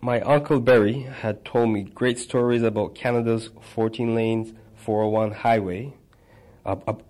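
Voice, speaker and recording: A man reading a personal memoir aloud, measured, with small stumbles and restarts. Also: medium pitch, fairly even intonation, darkish tone. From Barbados. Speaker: narrator.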